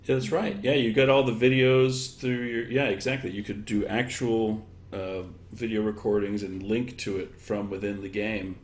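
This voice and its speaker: A man giving thoughtful, conversational interview answers, thinking aloud in halting, loose chains of examples. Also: fairly low pitch, little pitch movement. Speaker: interviewed guest, education technology expert. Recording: echoey room, clear background noise.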